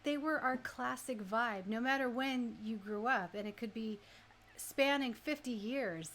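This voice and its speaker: A woman's voice: on the high side, unhurried, a bit bright, slight background noise. A woman hosting a radio music show, chatting casually and conversationally with listeners, often tossing in questions and checking that they agree. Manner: conversational, rhetorical questions and frequent tag questions. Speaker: radio DJ and host.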